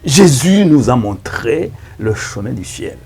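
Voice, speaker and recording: A man with a Rwandan accent, a native Kinyarwanda speaker, preaching as a pastor in a halting, emphatic manner with repeated words. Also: mid-range voice, sing-song, gravelly, darkish tone, faint music behind. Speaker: pastor and evangelist. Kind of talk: preaching introduction to camera.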